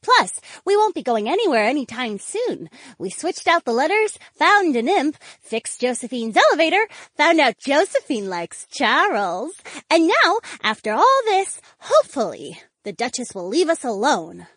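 A male narrator plays an excitable young cat woman from an audiobook. The voice is extremely high and swoops wildly, with girlish gushing and a playful temper. It sounds close and dry. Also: normal speed, no room sound, full character voice. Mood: earnest, chattering. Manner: gushing, girlish, squealing exclamations. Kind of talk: audiobook character dialogue.

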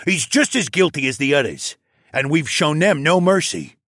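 A man voicing a merciless, gruff male werewolf pack leader in an audiobook, full of contempt. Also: on the high side, lively intonation, moderate pace, a bit bright, no echo, clean studio sound, voice pushed up. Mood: scornful. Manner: snorting, contemptuous. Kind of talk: audiobook character acting.

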